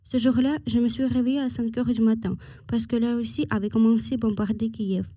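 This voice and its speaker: A woman's voice: a little high, flat delivery, fairly quick, warm tone, dry recording. A woman from Ukraine, a language student, giving prepared interview answers.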